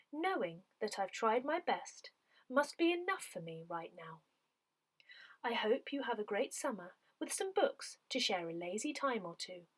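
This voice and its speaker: A woman reading a community newsletter aloud from a script, in measured, clearly phrased sentences. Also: fairly high pitch. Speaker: newsletter reader.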